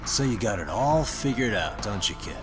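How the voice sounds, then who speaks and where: Guy doing a wry, unruffled detective in a game cutscene, lowish voice, music under it. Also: expressive, moderate pace, close to an everyday voice. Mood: stern. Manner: dry, unruffled, wryly skeptical. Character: composed, wry adult male detective. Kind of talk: video game cutscene English dub acting.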